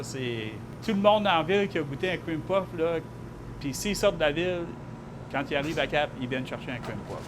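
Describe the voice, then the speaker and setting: A man's voice: fairly high pitch, background noise. A middle-aged man, a baker talking in a homey, chatty way in a documentary interview. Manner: homey, chatty, trails sentences with tag words. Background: guitar music.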